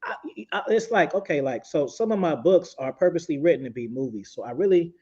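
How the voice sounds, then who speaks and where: A man's voice: on the high side, expressive, fast, a little mellow, slightly rough, dull audio. A man hosting an interview, talking in a laid-back, profane way with lots of stumbles and restarts. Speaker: interview host and author. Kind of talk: casual interview chat.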